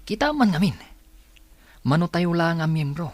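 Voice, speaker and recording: An actor playing a worried, hesitant and deferential member of a small group in an Ilocano radio drama. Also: male voice, a little high, sing-song, moderate pace, darkish tone, dry recording. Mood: worried, pleading.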